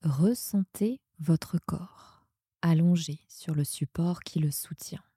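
A woman guiding a sleep meditation in a soothing, hushed, breathy manner that often nears a whisper. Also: on the low side, slow pace, no echo, studio quality. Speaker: yoga nidra guide and podcast host.

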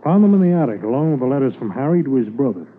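A steady, dogged Mountie sergeant played by a man in a 1950s radio drama. The mid-pitched voice is clipped and matter-of-fact, coming through a dull, narrow old radio recording. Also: racing, slight background noise, natural voice. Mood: grimly determined.

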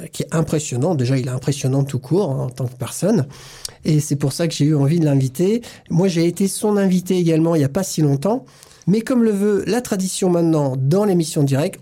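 A man's voice: a little high, warm tone. A man hosting a casual radio interview, chatty and laughing easily, drawing out some words. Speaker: community radio host.

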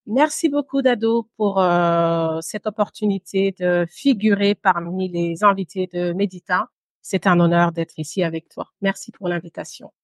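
A woman in her thirties from Guinea and Belgium, telling her story as an interview guest in a measured, pausing way with the odd English word. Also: lowish voice, steady tone, no room sound. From Guinea and Brussels, Belgium. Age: 30s. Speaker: podcast guest, entrepreneur.

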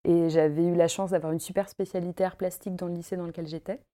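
Thirties woman, craft guest, mellow and lowish, talkative with easy laughter. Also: flat delivery, fast. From Paris, France. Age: 30s. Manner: chatty, many fillers, laughs easily. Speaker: guest, costume maker and embroiderer. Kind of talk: casual interview chat. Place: her home.

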